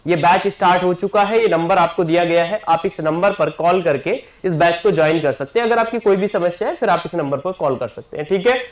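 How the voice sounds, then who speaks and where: A man's voice: high voice, fairly quick, hardly any pauses. A man speaks as an exam coaching teacher addressing his students. He repeats key points and keeps checking in with his listeners. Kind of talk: promotional class announcement.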